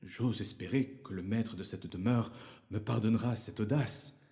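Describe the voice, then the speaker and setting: A man's voice: lowish voice, normal speed, gravelly, dark tone. A man voicing a humble, earnest merchant father fallen on hard times in a fairy tale. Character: ruined merchant father, tender, sad, humble older man.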